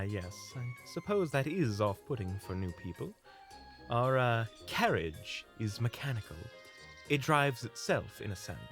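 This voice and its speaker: A man acting a charming, unflappable young hunter in a fantasy audio drama, courteous, playful and musing. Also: medium pitch.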